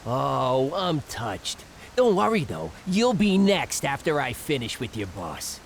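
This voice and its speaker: An actor playing a swaggering, taunting crime boss in a video game, theatrical and vicious. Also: male voice, medium pitch, sing-song, takes it slowly, a little hiss or hum. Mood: mocking. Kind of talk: video game villain acting.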